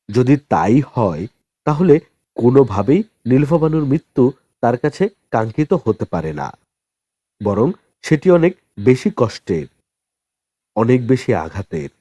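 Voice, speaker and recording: A man narrating a novel as an audiobook in a dramatic, expressive storytelling style with deliberate pauses. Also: mid-range voice, no room sound.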